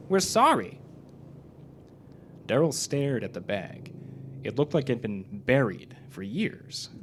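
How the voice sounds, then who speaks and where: A man's voice: mid-range voice, expressive, a little slow, darkish tone. A man reading a scripted story aloud as dramatic narration at a live performance. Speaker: story reader. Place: performance club before live audience. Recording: live club recording, faint noise.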